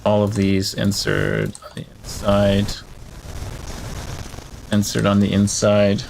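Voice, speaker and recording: Man, low-pitched, creaky, game streamer, casual play-by-play, thinking aloud, noisy game ambience behind. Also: very animated, takes it slowly, darkish tone. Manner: thinking aloud while playing. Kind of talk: casual live gameplay commentary.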